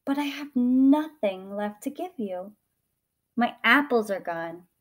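A woman's voice: a little high, expressive, slow pace. A woman voicing a loving, generous female tree in a children's story, coaxing and eager to please. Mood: sorrowful. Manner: coaxing, giving.

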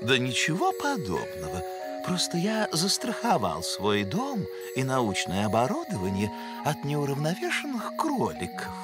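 A man's voice: mid-range voice, wildly swooping pitch, a little slow. A man voicing a pompous, learned cartoon moose with theatrical, florid scholarly phrasing. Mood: serene, smug. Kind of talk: animated cartoon voice acting. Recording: cartoon soundtrack with loud music behind.

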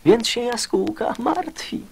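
A man narrating a children's verse fable in an expressive storytelling style. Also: very high-pitched, unhurried, gravelly, slightly rough.

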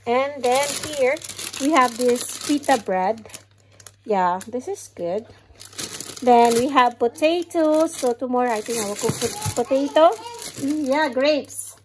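A Filipino woman with a fairly high voice chats casually, calling her viewers "guys" and letting her sentences trail off softly. She sounds close to a camera in a home kitchen, with faint crinkling and clicks behind her. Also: slight background noise. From Philippines. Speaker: vlogger. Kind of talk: casual grocery haul chat.

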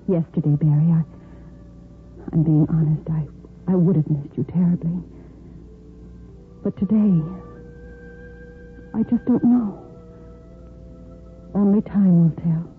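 A woman's voice: lowish voice, fairly even intonation, takes it slowly, long pauses, faint music behind. An actress playing an earnest, romantically torn young woman doctor in a 1950s radio soap opera, with dramatic phrasing. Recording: noisy tinny 1950s radio recording.

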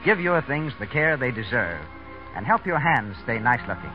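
Animated 1930s American radio announcer man. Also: medium pitch, normal speed. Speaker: commercial announcer. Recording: dull 1930s radio recording, faint music.

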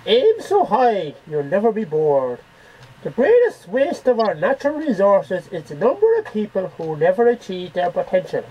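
A man's voice: high voice, huge pitch swings, moderate pace. A man voicing an earnest, theatrical poetry reciter in an amateur home recording. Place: small cluttered bedroom. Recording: dull noisy webcam audio.

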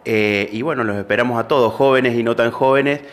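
A middle-aged man, an employment office coordinator, speaking in a steady official way with fillers, into a handheld microphone in an office room. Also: medium pitch, a little fast. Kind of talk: news interview. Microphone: handheld reporter microphone.

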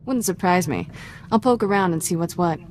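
A woman's voice: lowish voice, a little fast, darkish tone, slight background noise, natural voice. A woman playing a restless, wisecracking young apprentice in a video game, breezy and sardonic. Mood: wry.

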